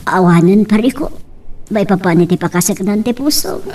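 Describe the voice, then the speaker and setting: A woman's voice: medium pitch, normal speed, dark tone, far from the mic, slight background noise, lightly acted. A woman playing a doting, skeptical middle-aged mother in a radio drama, with an Ilocano Filipino accent. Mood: surprised, doting.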